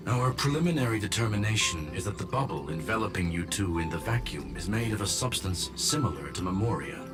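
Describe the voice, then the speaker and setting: A man voicing a courteous robot gentleman scholar in a video game, speaking formally and precisely. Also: sits low, expressive, fairly quick. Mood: calm, precise. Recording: loud music under the voice.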